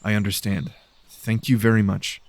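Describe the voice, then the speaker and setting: A man acts out a lost male explorer in a sci-fi audiobook. He is low-pitched, a little creaky, and speaks gently and hesitantly, meaning well. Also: flat delivery, moderate pace, no room sound, close to an everyday voice. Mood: grateful.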